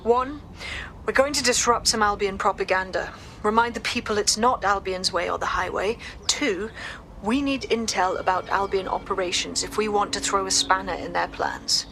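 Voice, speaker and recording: A woman playing a determined resistance leader in a video game, British, brisk and purposeful. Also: medium pitch, vocal fry, fairly bright tone, a little hiss or hum. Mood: rallying. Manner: rallying, purposeful.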